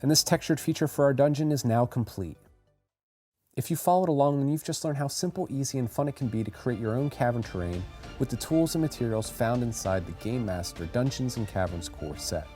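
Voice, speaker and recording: A man reading a scripted how-to voice-over as a tutorial narrator, giving clear step-by-step instructions. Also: mid-range voice, a little fast, warm tone, faint music behind.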